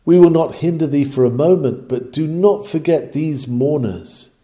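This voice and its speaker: Mellow-voiced man reading a sermon. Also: a little high, normal speed. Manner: declamatory, earnest, formal old-fashioned phrasing. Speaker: reader of a historical sermon.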